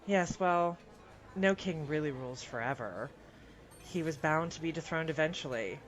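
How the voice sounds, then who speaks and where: A man's voice: fairly high pitch, expressive, moderate pace, fairly bright tone, slight background noise. A man playing a humble-seeming but slyly evasive citizen in a fantasy radio news parody, insinuating and then disclaiming. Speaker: interviewed citizen.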